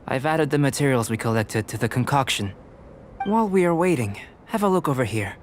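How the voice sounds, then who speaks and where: A man playing a composed, self-assured young alchemist in a video game, speaking in a measured, precise, scholarly manner. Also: medium pitch, animated, a little hiss or hum, close to an everyday voice. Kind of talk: video game character acting.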